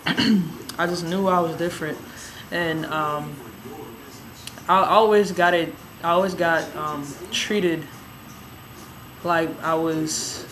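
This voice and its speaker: A young woman with a raspy, hoarse voice telling a personal story in a relaxed, rambling way with many fillers. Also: low voice, rough recording. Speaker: vlogger. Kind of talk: casual personal storytelling to camera.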